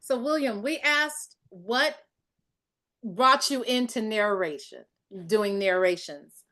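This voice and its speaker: A woman moderating a panel, brisk and quick to hand over, often saying "indeed". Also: on the high side, lively intonation, pushed and bright.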